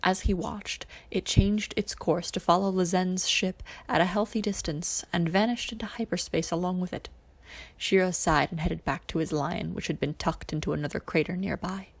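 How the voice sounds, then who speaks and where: A woman narrates fiction from a script. Her voice sits a little low for a woman and carries a strong creak throughout. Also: steady tone, moderate pace. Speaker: podfic narrator reading fan fiction.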